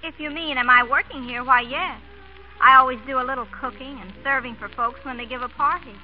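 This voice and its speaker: A woman's voice: high voice, steady tone, a little fast, natural voice. An actress playing a proud, plain-spoken small-town American woman in old radio comedy. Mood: friendly, shy. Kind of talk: 1930s-40s American radio comedy acting. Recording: dull old radio recording, faint music.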